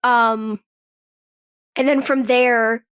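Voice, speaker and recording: A woman's voice: fairly high pitch, flat delivery, drawn-out words, fairly bright tone, smooth, dry recording. A woman guest on an interview, telling personal stories in a chatty, informal way and voicing little quoted remarks. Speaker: podcast guest, actress and writer. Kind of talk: casual chat, personal storytelling.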